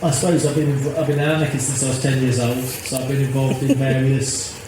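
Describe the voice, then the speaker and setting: A man's voice: medium pitch, fairly even intonation, fairly quick. Earnest middle-aged man, echoey noisy recording. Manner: earnest, rambling, repeats himself. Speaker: activist interviewee. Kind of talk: casual interview.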